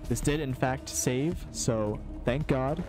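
A man's voice: mid-range voice, takes it slowly. A young man around twenty, chatting casually with his live-stream chat while playing a game, reading messages aloud and reacting to the action. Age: young adult. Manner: chatty, reads chat aloud, swears and yelps at sudden enemies. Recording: game music playing under the voice.

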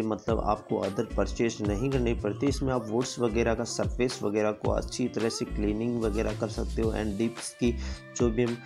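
A man giving a rambling voice-over review of woodworking tools, repeating himself often. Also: medium pitch. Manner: rambling, repetitive filler-heavy explanations. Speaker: product reviewer.

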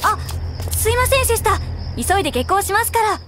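A woman's voice: very high-pitched, sing-song, fairly quick, very noisy. A woman playing a gloomy, self-loathing sixteen-year-old schoolgirl in an anime audio drama, polite and easily flustered. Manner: flustered, pessimistic, polite speech.

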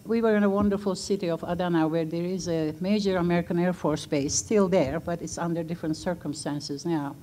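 A woman's voice: lowish voice, steady tone, a little fast, hardly any pauses, mellow, slight background noise. A Turkish-born woman in her sixties, a non-native English speaker, telling personal stories on a museum panel with frequent fillers and laughs, into a table mic on a stage. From Turkey. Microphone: table mic on stand.

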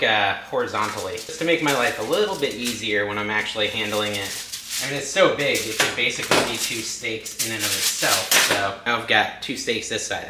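A man in his thirties hosting a casual home cooking vlog, chatty and self-deprecating, with his voice a bit worn from losing it. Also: lowish voice. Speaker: cooking channel host. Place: home kitchen, indoors. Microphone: backup camera mic at a distance. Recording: echoey kitchen, noisy backup mic.

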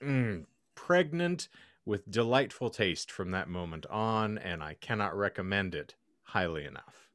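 A man talks as a podcast host in florid, wordy sentences. His voice is fairly low and recorded dry and close. The delivery is casual and joking. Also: lively intonation, measured pace, a bit bright, dry recording. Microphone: close podcast microphone, video call.

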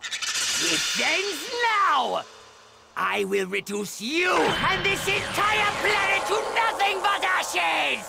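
An arrogant, cruel alien tyrant for an anime game. The voice is very high and gravelly, drawing words out theatrically and very slowly under loud music. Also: male voice, expressive, heavily acted. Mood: furious, straining. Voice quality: raspy, strained. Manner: haughty, theatrical. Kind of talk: villainous anime game voice acting.

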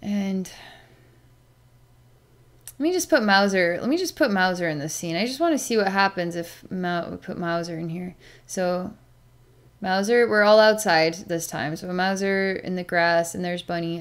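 Halting, meditative middle-aged woman. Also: lowish voice. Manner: halting, meditative, with long pauses and sighs. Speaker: animal communicator, psychic. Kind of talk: slow narration of a psychic session with pets, thinking aloud. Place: small plain room indoors.

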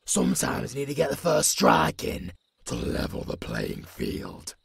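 A man with a British accent playing a tough old werewolf mentor in an audio roleplay, gruff but caring, with a growling, raspy voice and a commanding manner. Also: medium pitch, huge pitch swings, full character voice. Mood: intense coaching. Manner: slow, deliberate, commanding.

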